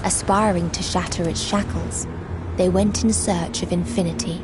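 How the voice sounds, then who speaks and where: An actress playing a mournful, otherworldly being who speaks for many in a video game, in solemn, declamatory, archaic language. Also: female voice, on the low side, steady tone, moderate pace, background noise, close to an everyday voice. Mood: grave reporting. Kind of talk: video game cutscene monologue, formal archaic narration.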